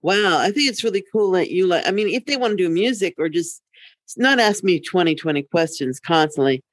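A woman's voice: lowish voice, animated, a little fast, dry recording. A middle-aged woman podcast co-host chatting casually in a candid, rambling way. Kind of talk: casual chat.

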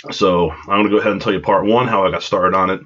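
A man's voice: low-pitched, fairly even intonation, fast talker, a bit bright, breathy edge. Stuffed-up man rambling through a story. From Long Island, New York. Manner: rambling, self-deprecating, goes off on tangents. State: congested from a cold. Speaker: podcast host. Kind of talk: casual storytelling monologue. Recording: dull lo-fi home recording.

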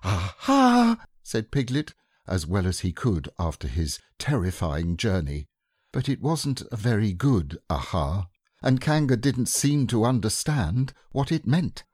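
A man narrating a classic children's storybook in a gentle, expressive way. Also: on the low side, measured pace, breathy edge, no room sound, clean studio sound. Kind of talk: storybook reading.

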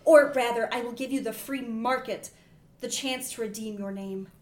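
Woman playing an imperious judge, high echoey voice. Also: a little mellow, close to an everyday voice. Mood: stern, magnanimous. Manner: formal, grandiose, deliberate. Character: imperious female judge, pompous but moved. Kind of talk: comedy script table read.

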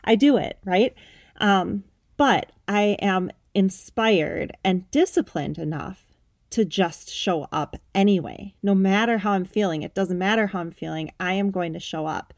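A woman's voice: medium pitch, unhurried, creaky, dry recording, clean studio sound. A woman in her thirties hosting a podcast, giving an upbeat, emphatic motivational talk in a conversational way. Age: 30s. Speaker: podcast host.

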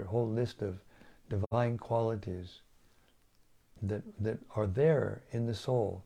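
An older man, a spiritual teacher, giving a slow devotional talk on scripture and often repeating or restarting his words. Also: on the low side, dark tone.

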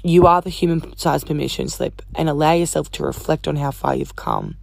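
A woman hosting a casual solo podcast, chatty and upbeat, swearing casually, her voice croaky from talking all day. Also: low voice, wildly swooping pitch, a little fast, darkish tone, no echo, clean studio sound. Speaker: podcast host.

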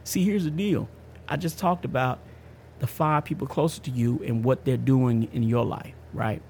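A man's voice: medium pitch, steady tone, normal speed, creaky, darkish tone, slight background noise. A man in his fifties hosting a podcast, giving a casual personal testimony in an emphatic, slangy way, often repeating himself. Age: 50s. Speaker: podcast host.

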